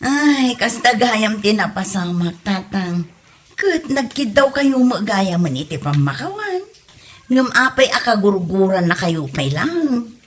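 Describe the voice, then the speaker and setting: A woman's voice: mid-range voice, big pitch swings, slow pace. A woman playing an exasperated married daughter who questions and scolds, with an Ilocano Filipino accent, in a comedy audio drama. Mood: shocked, reproachful. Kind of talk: comedy radio drama acting.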